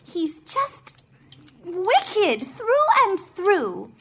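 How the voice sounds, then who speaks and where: A woman's voice: extremely high pitch, wildly swooping pitch, very slow, a little hiss or hum, heavily acted. An actress playing an indignant, self-righteous young girl in a family audio drama, speaking emphatically. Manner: emphatic, complaining.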